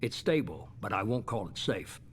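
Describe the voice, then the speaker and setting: An actor playing a shadowy, coolly self-justifying middle-aged leader in a video game, measured, authoritative and unapologetic. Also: male voice, lowish voice, big pitch swings, slight background noise. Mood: measured, calculating. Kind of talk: dramatic video game dialogue.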